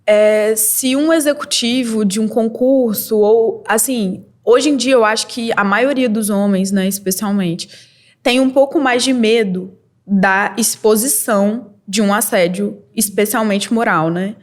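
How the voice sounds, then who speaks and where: Candid, lively young Brazilian woman. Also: on the high side. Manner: lively and candid, quick bursts with laughs. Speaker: podcast guest, model and entrepreneur. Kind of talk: casual interview storytelling.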